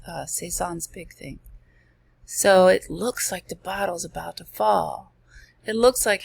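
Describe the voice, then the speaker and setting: Woman narrator, strained fading voice, gravelly, unhurried chatty slide talk, dry close sound. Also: medium pitch, no echo. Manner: chatty, reads passages then comments with asides. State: losing her voice. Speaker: student presenting slides. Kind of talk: casual slide-by-slide art lesson.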